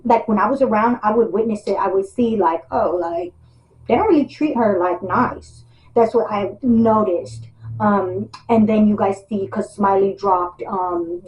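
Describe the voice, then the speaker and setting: A woman's voice: mid-range voice, darkish tone. A woman chatting casually about her time on a reality show, rambling and hesitant, with slangy phrasing. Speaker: reality show cast member. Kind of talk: casual chat. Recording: echoey room sound.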